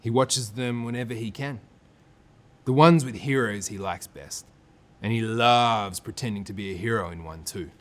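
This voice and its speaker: A man with an Australian accent presenting and reading a children's story, with expressive phrasing aimed at young listeners. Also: lowish voice, moderate pace.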